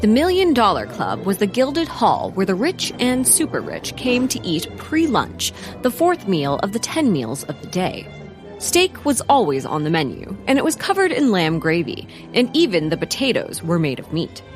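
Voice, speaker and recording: A woman's voice: medium pitch, huge pitch swings, moderate pace, over music. A woman playing a pedantic, digressive historian who narrates a comic audio drama in a matter-of-fact manner. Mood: pedantic, wry.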